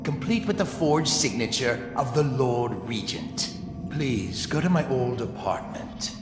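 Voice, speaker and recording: A bitter, ruined businessman bent on revenge , acted by a man in a video game. He speaks formally but with lively swings of pitch, scheming intently, his voice echoing over music. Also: close to an everyday voice. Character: bitter, vengeful ruined businessman plotting payback. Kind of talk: scripted game dialogue. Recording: echoey reverb with music behind.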